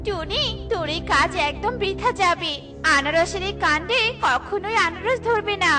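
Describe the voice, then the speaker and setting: A woman's voice: very high voice, normal speed, over music. A woman voicing a scornful, dismissive female neighbour bird in a cartoon, haughty and discouraging. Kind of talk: cartoon voice acting.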